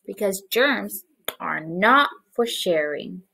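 A young woman preschool teacher reading a picture book aloud to small children and explaining it, with animated, exaggerated expression. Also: medium pitch, slow, creaky, slightly rough. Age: young adult. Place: small living room, indoors, on a couch.

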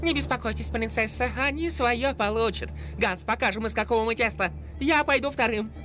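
A woman's voice: a little high, a little fast, a bit bright, soft background music, lightly acted. A woman voicing a plucky, cheeky little male animal sidekick in a dubbed cartoon, feisty and boastful.

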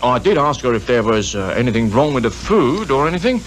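Male actor as deferential British floor waiter, mid pitch, animated, colloquial, 1950s radio thriller. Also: a little fast, lightly acted. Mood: respectful, earnest. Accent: British English. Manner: respectful, colloquial. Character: deferential male hotel waiter with homely turns of phrase. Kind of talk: 1950s radio drama acting. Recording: 1950s radio recording with faint noise.

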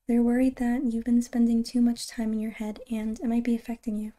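A woman playing a caring, reassuring girlfriend in an ASMR roleplay, soft-spoken and breathy, close to a whisper, with halting, tender delivery. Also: on the high side, level tone, lightly acted. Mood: gentle concern.

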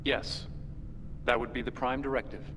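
A man acts as a dutiful, deferential subordinate in a sci-fi game. His mid-pitched voice is formal and rings with long reverb. Faint noise sits behind it. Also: level tone, moderate pace, close to an everyday voice. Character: formal, dutiful male subordinate reporting to master.

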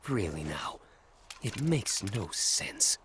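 A vain young bird warrior, pompous and sneering. He draws words out slowly with theatrical swoops of pitch, as in a game cutscene, over faint noise. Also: sing-song, a bit bright, exaggerated voice. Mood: scornful. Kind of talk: dramatic video game acting.

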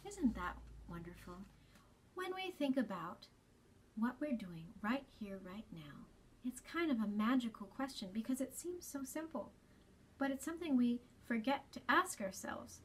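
A woman in her thirties hosting a children's storytime, explaining gently and deliberately in a slightly breathy voice. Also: on the high side, expressive, slight background noise. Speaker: children's storytime host at a library. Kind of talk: children's storytime reading and talk. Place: small library space, indoors.

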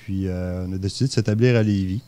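A middle-aged man from Saguenay with a Quebec French accent, a guest on a radio interview, speaking haltingly with many fillers and restarts. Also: low voice, fairly even intonation, warm tone. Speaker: guest, municipal candidate. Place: small radio studio. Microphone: studio mic on stand.

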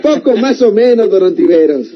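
An actor playing a sly, scheming bandit with a rural Mexican accent and folksy talk in an old radio serial. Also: male voice, high-pitched, normal speed. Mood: jovial, sly. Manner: folksy, animated. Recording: dull, very noisy vintage radio recording.

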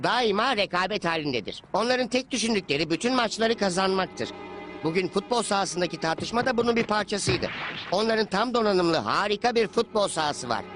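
A man's voice: high voice, a bit bright, slight background noise. A man voicing an earnest, eager, talkative schoolboy soccer player in a dubbed anime cartoon. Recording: faint music under the voice.

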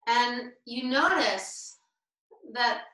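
A woman with a fairly high, very bright voice leads a guided meditation at an unhurried pace. She delivers short, deliberate phrases with space between them. The sound is roomy, picked up over a video call. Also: lively intonation, far from the mic. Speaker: meditation teacher.

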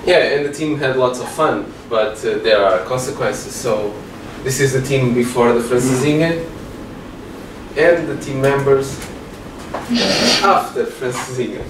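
A man presents at a meetup, pausing often on fillers. His voice is mid-pitched. He is heard from a distance in a reverberant room with noticeable background noise. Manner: hesitant, many fillers. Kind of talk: tech meetup talk. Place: large meeting room with projector. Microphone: far room mic. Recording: echoey room from far off, noisy.

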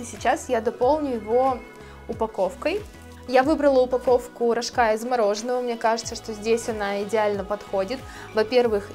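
A woman in her thirties, a florist, calmly explaining her steps in a tutorial, speaking gently to her viewers. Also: fairly high pitch. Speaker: florist teaching a course. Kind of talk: calm tutorial narration. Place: indoor studio with plants. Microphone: lapel mic.

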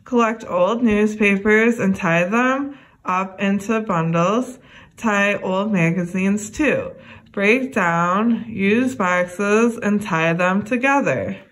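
A young adult woman reading a children's educational book aloud, addressing young listeners in a deliberate way. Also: medium pitch, rough recording. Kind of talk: read-aloud children's lesson.

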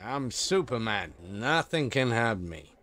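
Boozy cocky tv hero, boastful, voice swinging up and down, radio play. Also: male voice, medium pitch, very animated, slow, pushed and bright, full character voice. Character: drunken, cocky male TV superhero star. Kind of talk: audio drama acting.

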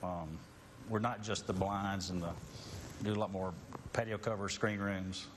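Deep, flat-voiced man as TV guest. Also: a little slow, noisy background. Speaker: awning company guest. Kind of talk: live TV interview. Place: TV studio set.

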